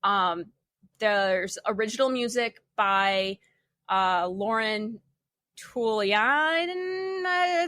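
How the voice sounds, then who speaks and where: High-voiced, wry young woman chatting casually. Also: pushed and bright, no echo, studio quality. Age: young adult. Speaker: podcast panelist. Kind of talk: casual chat.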